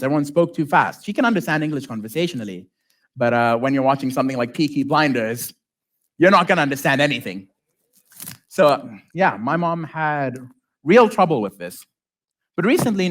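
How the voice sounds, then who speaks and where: A man who is a native Arabic speaker giving an informal conference talk as a software developer, with joking asides and check-ins to the audience. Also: on the high side. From lives in South Africa.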